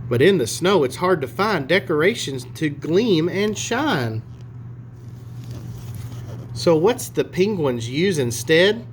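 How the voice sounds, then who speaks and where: Slow, expressive man reading a storybook. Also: on the high side, a little hiss or hum. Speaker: storybook reader. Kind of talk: expressive read-aloud for children.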